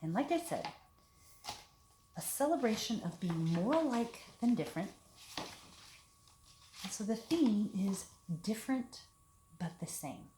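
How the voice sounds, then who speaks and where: A woman leading a children's storytime, talking and reading aloud in simple, deliberate phrases meant for young children. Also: mid-range voice, lively intonation, warm tone. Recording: echoey room with background hiss.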